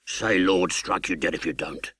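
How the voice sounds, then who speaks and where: Harsh, rasping English convict. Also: male voice, deep voice, fairly even intonation, moderate pace, fairly bright tone, no room sound. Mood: menacing. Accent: English working-class dialect. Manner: threatening, growling, uneducated speech. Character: menacing, rough escaped convict in a graveyard. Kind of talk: audiobook character acting.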